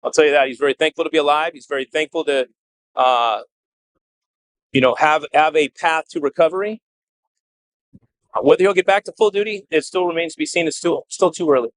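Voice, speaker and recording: Measured, halting male police chief, dry sound. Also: on the high side, no echo, poor audio. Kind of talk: press conference statement and answers. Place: press briefing at a hospital.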